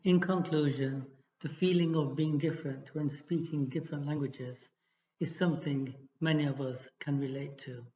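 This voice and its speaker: Male exam tutor, measured read-aloud delivery, warm mellow tone, dull recording. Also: on the high side. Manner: steady, measured reading with small restarts. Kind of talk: reading a model essay aloud.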